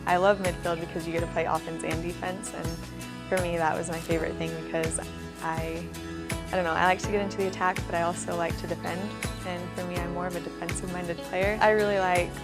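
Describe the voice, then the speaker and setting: A woman in her early twenties from Texas, a college athlete giving casual interview answers. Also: lowish voice. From Texas, United States. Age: early 20s. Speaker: college soccer player being profiled. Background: loud guitar music.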